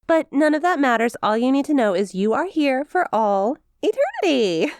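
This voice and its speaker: A woman's voice: sits high, sing-song, normal speed, no echo, clean studio sound. A woman playing a perky, smug demon imp in an ASMR roleplay, teasing with playful mock sympathy and a possessive streak. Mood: teasing, gloating. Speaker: audio roleplay performer.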